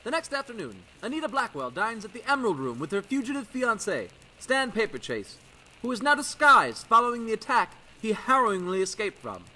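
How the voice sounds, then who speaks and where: An actor playing an excitable old-time radio announcer, giving florid, theatrical scripted narration. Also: male voice, sits high, fairly quick, slight background noise, full character voice, low-quality recording. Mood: dramatic. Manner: theatrical, florid scene-setting.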